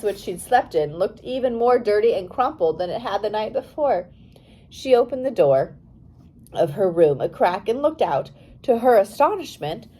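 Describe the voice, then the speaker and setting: A woman narrating a biography for young readers as an audiobook, in a careful, expressive storytelling manner. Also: mid-range voice, husky, low-quality recording.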